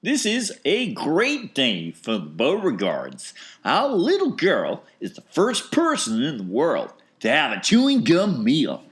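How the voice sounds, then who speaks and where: Man playing a bossy father with swooping pitch. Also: huge pitch swings, slow, exaggerated voice. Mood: proud encouragement. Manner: emphatic, shouting. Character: bossy, loud, proud father.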